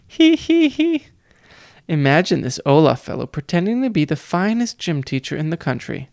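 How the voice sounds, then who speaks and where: Pompous school vice principal, dismissive and jeering, higher-pitched male voice, close and dry, acted by a man reading a storybook. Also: very animated, normal speed, creaky, a little mellow, no echo. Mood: mocking glee. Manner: exclaiming, sneering, jeering.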